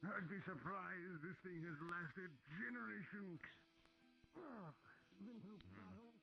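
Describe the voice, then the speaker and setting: High-voiced man, muffled under loud music. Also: slow, vocal fry. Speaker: roleplay player. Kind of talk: improvised in-character roleplay chat. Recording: dull lo-fi sound, loud music behind.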